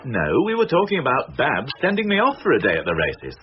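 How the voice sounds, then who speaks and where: An actor playing a mildly pompous married police inspector in a radio drama, protesting in a flustered, defensive way. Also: male voice, medium pitch, wildly swooping pitch, a little fast. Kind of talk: naturalistic radio drama acting. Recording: muffled, noisy old radio recording.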